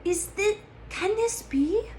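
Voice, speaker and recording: Woman playing an awed old barman, higher-pitched. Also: expressive, slow, darkish tone, a little hiss or hum, exaggerated voice. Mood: awed, reverent.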